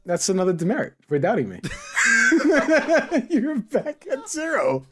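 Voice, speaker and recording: A man's voice: very high voice, wildly swooping pitch, slow, a bit bright, breathy edge, shouting. A man hosting a casual group chat, teasing and bantering playfully with his co-hosts. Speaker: podcast host.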